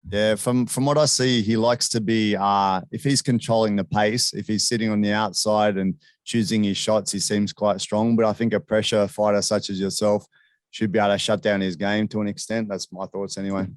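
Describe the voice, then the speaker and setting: A man's voice: on the low side, fairly even intonation, moderate pace, dry recording. A man hosting a casual sports interview in a chummy, informal way. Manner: chummy, informal, often addressing guest as "man". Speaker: interviewer, show host.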